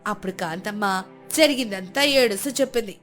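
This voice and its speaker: A woman narrating a scripted storybook moral tale in a steady, expressive way. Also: medium pitch, fairly quick, faint music behind. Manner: steady, clear, expressive telling.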